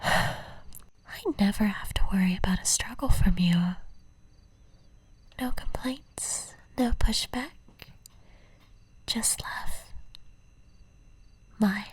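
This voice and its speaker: A woman playing an obsessive, possessive stalker in a whispered ASMR roleplay, speaking in a breathy, intimate way with tender menace. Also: mid-range voice, no room sound, exaggerated voice. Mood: smug, adoring. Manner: slow, intimate, cooing endearments.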